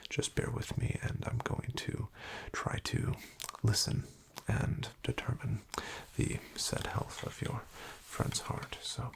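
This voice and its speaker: A man whispering softly as a polite, hesitant doctor in an ASMR roleplay, with a wry bedside manner. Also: mid-range voice, little pitch movement, takes it slowly, hardly any pauses. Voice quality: whispered, gravelly. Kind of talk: soft-spoken ASMR roleplay.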